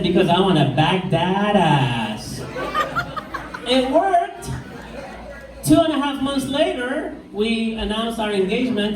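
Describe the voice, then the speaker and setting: A middle-aged man from Iraq with an Iraqi accent doing a stand-up set in a pub, delivering deadpan one-liners with slow punchlines. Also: sits high. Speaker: stand-up comedian. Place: pub stage with live crowd. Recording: echoey room, mic heard from far off.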